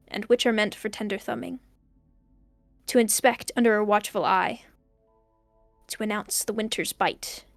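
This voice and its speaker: A woman's voice: on the high side, gravelly, dry recording. A young woman playing a lonely, bookish student recording a hesitant, reflective audio diary for an audio drama. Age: young adult. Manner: halting, reflective, trailing off. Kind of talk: intimate audio diary monologue.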